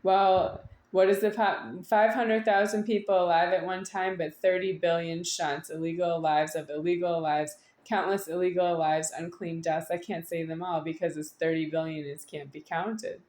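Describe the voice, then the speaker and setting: A woman speaks in a somewhat low register, with the room echoing around her. She delivers an unscripted, wandering monologue to an audience. Her phrasing halts and stretches, then circles back in insistent repetition. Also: fairly even intonation, moderate pace, few pauses, darkish tone. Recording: echoey room.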